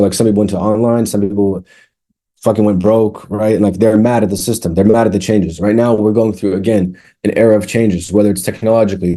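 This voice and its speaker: Man, 30s, interview guest; low-ish mellow voice, rambling and candid. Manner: rambling, frequent restarts and fillers, candid. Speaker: music producer, guest. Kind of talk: casual interview chat.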